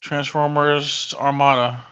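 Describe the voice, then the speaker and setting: Man in his thirties, casual co-host, bright voice, wanders and corrects himself, lo-fi audio. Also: mid-range voice, slow, smooth. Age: 30s. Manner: rambling, self-correcting, excitable. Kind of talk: casual chat.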